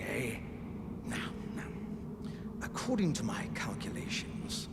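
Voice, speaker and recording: An actor voicing a jovial, hearty living warrior vessel in a fantasy game, chummy and theatrical. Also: male voice, vocal fry, heavily acted. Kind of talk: scripted fantasy game dialogue. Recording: reverberant, loud ambient rumble behind.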